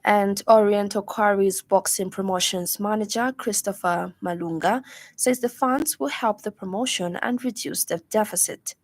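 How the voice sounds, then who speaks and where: A woman's voice: medium pitch, normal speed. A woman with a Zambian accent reads a scripted news bulletin on the radio from a studio. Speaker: news reader. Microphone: studio broadcast microphone.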